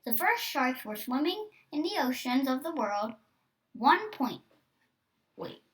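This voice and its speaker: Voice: high-pitched, big pitch swings, slow pace, fairly bright tone, recorded from a distance. A nine-year-old child hosting a read-aloud, with casual asides and some stumbles on hard words. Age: child, nine years old. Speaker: host reading a book aloud.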